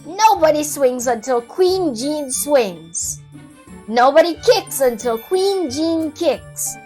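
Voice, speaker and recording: Woman doing a bullying schoolgirl queen, bossy, snarling, commanding, raised high pitch, storybook character, background music. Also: expressive, takes it slowly, warm tone. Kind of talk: children's storybook read-aloud.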